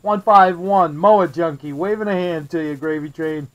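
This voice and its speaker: High-pitched friendly American CB operator. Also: male voice, normal speed. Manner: friendly, chatty, uses radio jargon. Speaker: CB radio operator, host of the channel. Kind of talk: casual CB radio exchange with call signs.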